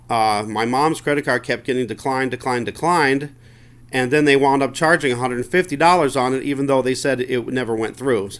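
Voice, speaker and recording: A man giving an informal account of a grievance as a customer, halting and repetitive, sounding aggrieved. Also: mid-range voice, fairly bright tone. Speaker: customer phoning in a complaint.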